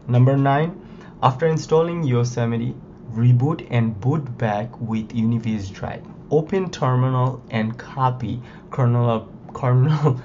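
A man talks through a computer build guide in an easygoing, rambling way, his voice hoarse from a recent illness. His tone is mellow and mid-pitched. The recording sounds a little muffled, with a faint hiss underneath. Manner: informal, many fillers like "you know" and "like". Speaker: tech video host. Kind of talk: casual tutorial walkthrough. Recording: slightly dull lo-fi with faint noise.

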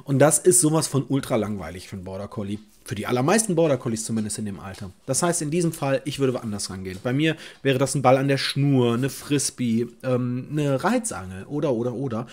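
A man's voice: mid-range voice, animated, a little fast, hardly any pauses, a little mellow. A middle-aged man, a dog trainer, talking through a viewer's question in his home office, casual and thinking aloud with fillers and restarts. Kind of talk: advice video, reading and answering a viewer letter. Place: home office with bookshelves, indoors.

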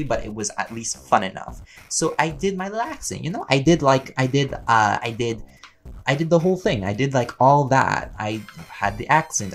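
Playful, chatty man over background music. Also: medium pitch. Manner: playful, chatty, laughs often, animated emphasis. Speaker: voice actor answering listener questions. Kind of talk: casual rambling Q&A.

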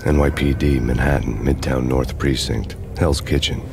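An actor playing a grim, world-weary American police detective in a video game, speaking in measured, hardboiled style. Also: male voice, very deep voice, fairly even intonation, noisy background. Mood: grim, brooding. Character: brooding, world-weary New York police detective, man. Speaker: narrator and protagonist detective. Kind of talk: hardboiled noir scripted narration. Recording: music behind the voice.

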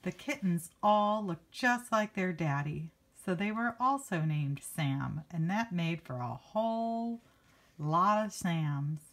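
A woman's voice: fairly low pitch, lively intonation, slow pace. A woman reading a picture book aloud to children like a teacher, expressively stretching key words and adding casual asides. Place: indoors, book held before a handheld camera.

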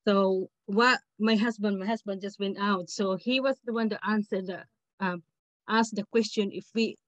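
A woman's voice: mid-range voice, steady tone, moderate pace, dry recording. A middle-aged woman with a Filipino accent speaking informally as a participant on a video call. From Philippines. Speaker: community researcher, webinar participant. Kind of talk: informal question in a webinar. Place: small cluttered room indoors. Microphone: video call, laptop microphone. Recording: dull lo-fi video call audio.

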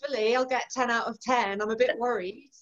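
A woman's voice: a little high, fairly even intonation, fast. Chatty woman with a mixed Yorkshire-Irish accent. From East Yorkshire, England. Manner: chatty, enthusiastic, laughs easily. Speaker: author being interviewed. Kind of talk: casual chat. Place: home room lined with bookshelves. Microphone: video call. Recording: dull lo-fi video call audio.

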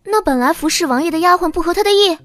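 High-pitched, spirited young princess consort, acted by a woman. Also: fast talker, fairly bright tone, faint music behind. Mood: disbelieving, indignant. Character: spirited, sharp-witted young princess consort, breezy and defiant. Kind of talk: audio drama acting in Mandarin.

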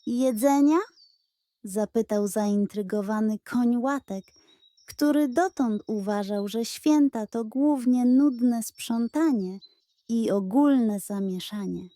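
Unhurried high-voiced woman storyteller. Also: no room sound. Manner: expressive, playful storytelling. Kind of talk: scripted narration of children's bedtime story.

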